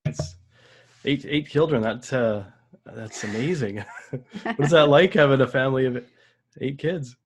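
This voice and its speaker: A man hosting a podcast interview, friendly and a bit halting, often repeating his words. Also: mid-range voice, slight background noise. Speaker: podcast host.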